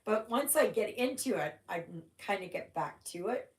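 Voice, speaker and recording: A woman's voice: mid-range voice, animated, normal speed, roomy sound. A Canadian woman whose first language was German, hosting a casual stitching livestream and chatting in a rambling way full of "oh my gosh".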